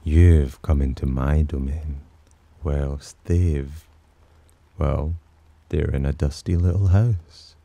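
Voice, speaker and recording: A man playing a teasing, possessive demon in an ASMR roleplay, with a breathy, husky voice and a smug, theatrical drawl. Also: very deep voice, steady tone, slow pace, dark tone, dry recording, exaggerated voice. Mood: smug, curious. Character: teasing, possessive male demon sheltering a human. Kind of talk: ASMR roleplay, slow intimate character acting.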